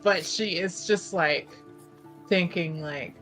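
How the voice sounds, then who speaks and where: A woman narrating a character in a tabletop roleplaying game, casually improvising in a halting, emphatic, conversational way. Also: lowish voice, fairly bright tone, faint music behind.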